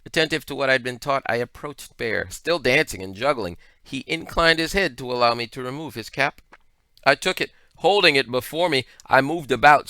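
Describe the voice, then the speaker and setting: A man reading a story aloud as scripted narration, with expressive, deliberate phrasing. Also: medium pitch, dry recording. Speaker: audiobook reader.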